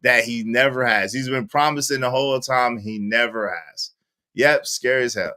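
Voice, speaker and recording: Bright-voiced slangy man in his forties. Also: mid-range voice, steady tone, normal speed. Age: 40s. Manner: slangy, repetitive, reads viewer comments aloud. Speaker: live-stream host. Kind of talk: casual live-stream commentary reading chat.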